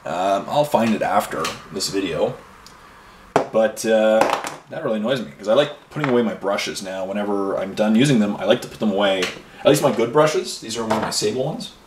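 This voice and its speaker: A man's voice: lowish voice, animated, fairly quick. Rambling chatty man in an echoey room. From Ireland and Canada. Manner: rambling and chatty, with many fillers and asides. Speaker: miniature painting host. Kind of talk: casual chat while painting. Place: home hobby room. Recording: roomy echo, voice a bit far from mic, faint noise.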